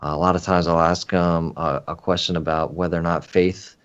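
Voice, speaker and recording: A man's voice: low-pitched, level tone, fairly quick, clear, resonant tone, muffled recording. A man from the Philadelphia area, a podcast guest speaking in an easygoing, earnest way with many fillers. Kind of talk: casual chat.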